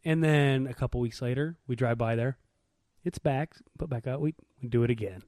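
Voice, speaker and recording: A man's voice: medium pitch, a little fast, a little mellow, no room sound, studio quality. A middle-aged man chatting casually and telling anecdotes with wry, irreverent humor.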